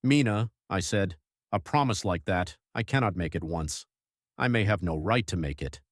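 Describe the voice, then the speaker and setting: A man playing a grave, devoted young husband who narrates his journal in an audiobook, measured and reflective. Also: sits low, lively intonation, dry recording, clean studio sound. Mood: solemn.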